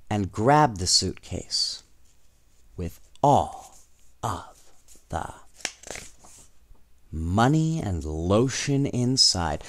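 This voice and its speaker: A man reading a children's storybook aloud in an expressive, unhurried way, with casual asides. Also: fairly low pitch, no room sound. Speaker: storybook reader.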